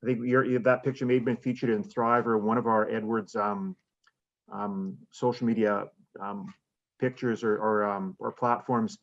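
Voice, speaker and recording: A man's voice: fairly low pitch, level tone, moderate pace, dull audio. A man moderating a speaker series, reading audience questions and asking long, rambling questions with restarts. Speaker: interviewer, moderator.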